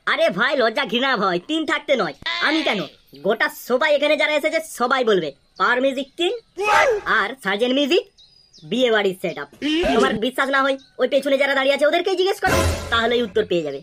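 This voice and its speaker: A man's voice: very high-pitched, sing-song, fast, music in the background. A man voicing a brash, foul-mouthed young troublemaker in a comedy cartoon, with a West Bengal accent. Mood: mocking, defiant. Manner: brash, argumentative, boastful.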